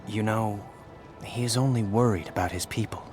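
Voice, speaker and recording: An actor playing a calm, sympathetic human adventurer in a fantasy video game, speaking gently and supportively. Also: male voice, fairly low pitch, normal speed, music in the background, close to an everyday voice. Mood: concerned.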